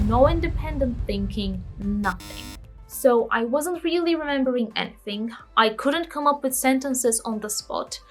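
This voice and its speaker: A woman's voice: a little high, a bit bright. Candid, self-mocking woman vlogger, Bulgarian native. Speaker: language-learning vlogger. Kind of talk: scripted vlog narration.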